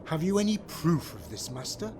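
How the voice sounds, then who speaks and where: A man playing a worried, formal senator in a comic dub, speaking in a measured way over faint music. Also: fairly high pitch, big pitch swings, background noise. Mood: concerned, formal.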